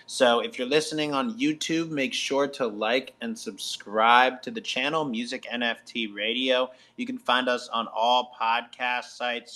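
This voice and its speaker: Male host, mid-range and bright, for upbeat promotional interview on an online radio show. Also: mid-range voice.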